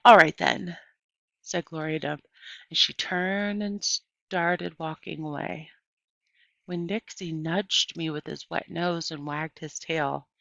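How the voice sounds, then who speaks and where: A woman's voice: low voice, fairly even intonation, takes it slowly, creaky, fairly bright tone, dry recording. A woman reading a first-person storybook aloud as scripted narration, on a dry, slightly muffled recording. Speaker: audiobook reader.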